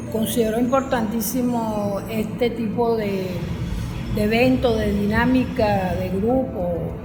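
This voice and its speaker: A Venezuelan woman speaking formally as a university rector opening a panel, hesitant and deliberate. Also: a little high. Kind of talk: formal panel introduction with spontaneous remarks. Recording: echoey hall, music behind.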